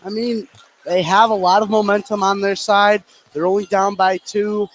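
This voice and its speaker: A man's voice: sits high, flat delivery, normal speed. A man giving conversational color commentary and analysis during a live basketball game. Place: indoor gym, seated above team bench. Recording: faint gym noise behind voice.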